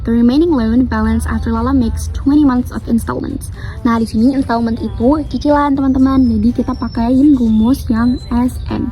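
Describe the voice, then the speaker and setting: A woman's voice: a little high, brisk, rough recording. Young Indonesian woman explaining math. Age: teens. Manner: steady, patient explaining, switching between English and Indonesian. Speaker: student presenter.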